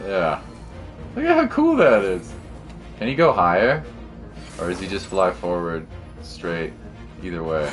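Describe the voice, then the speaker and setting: A man's voice: low voice, big pitch swings, unhurried, long pauses, soft background music. A man chatting casually and commentating as a game streamer, with a laid-back, slangy way of talking. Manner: laid-back slangy talk with mild swearing. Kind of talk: casual livestream chat and gameplay commentary.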